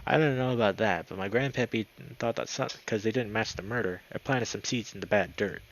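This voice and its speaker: A man's voice: on the low side, fairly quick, a little hiss or hum, close to an everyday voice, low-quality recording. A man voicing a crazed weasel gunman from a visual novel, folksy, brooding and accusing. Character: crazed male weasel gunman, rural and menacing.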